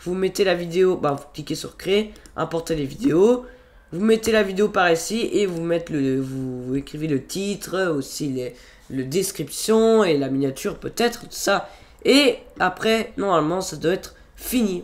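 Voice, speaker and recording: A man's voice: high voice, animated, moderate pace. A young man with a cold, narrating a casual screen-recorded video-editing tutorial in a rambling way, with many fillers and restarts. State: has a cold. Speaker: tutorial youtuber. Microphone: computer microphone.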